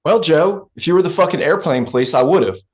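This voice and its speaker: A man playing a gruff, sarcastic, profane oilfield fixer in a script read, talking in a blunt, folksy way. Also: a little high, animated, brisk. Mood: dry.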